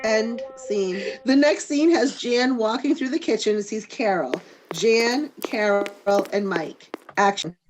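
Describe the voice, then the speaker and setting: A woman's voice: fairly high pitch, slow, few pauses, rough recording. Woman narrating over music. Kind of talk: reading stage directions aloud.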